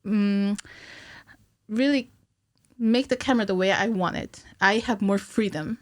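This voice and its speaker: Woman explaining her craft, halting delivery. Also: medium pitch, dry recording, studio quality. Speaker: guest, cinematic animator. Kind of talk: casual podcast interview, explaining technical work. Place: small curtained podcast studio, indoors. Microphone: boom-arm studio mic with headphones.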